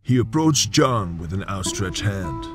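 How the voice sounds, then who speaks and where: A man narrating a western radio serial in a measured storytelling manner. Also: low voice, sing-song. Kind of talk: scripted narration. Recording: narration over loud guitar music.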